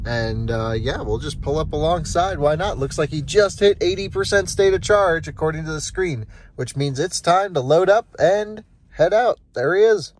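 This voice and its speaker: A man in his thirties narrates a road trip on a phone camera from inside his car, chatty and upbeat, with a slightly high voice. Loud road and wind noise runs under everything. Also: normal speed, few pauses. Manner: chatty, upbeat, thinking aloud. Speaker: channel host. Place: inside a car on a highway, windy outdoors at stops. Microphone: handheld phone camera.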